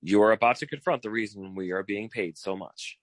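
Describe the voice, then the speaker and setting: An actor playing a cocky, boastful, theatrical private detective in a 1940s style radio comedy, pitching himself in a showy, wheedling way. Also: male voice, a little fast, no room sound, close to an everyday voice. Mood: breezy, scheming. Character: cocky, theatrical fast-talking male private detective eager for partnership.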